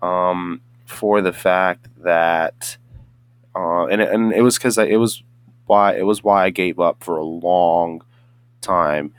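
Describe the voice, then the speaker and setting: A young man hosting a casual solo podcast, hoarse and a bit congested from just waking up, rambling with many restarts and fillers. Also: sits low, fairly even intonation, takes it slowly, no echo. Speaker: podcast host.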